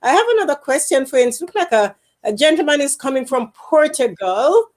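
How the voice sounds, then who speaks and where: A woman's voice: high-pitched, very animated, a little fast. Emphatic woman hosting a career show. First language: not English. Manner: emphatic, repeats phrases for effect. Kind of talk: live interview chat. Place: Canada.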